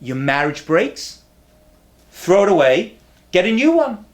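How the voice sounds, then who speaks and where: This is a man in his thirties giving an animated rabbinic lecture straight to camera. His pitch leaps and falls with real liveliness as he drives home repeated punchlines. He slips in humorous asides, and he talks into a close lapel mic in a quiet study lined with books. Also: measured pace. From not from America.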